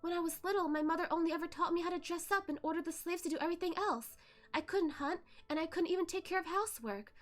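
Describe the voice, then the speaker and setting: A woman voicing a sorrowful young female ghost, telling her tale in a halting, plaintive way for a story reading. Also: extremely high pitch, voice pushed up. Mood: sad, bitter.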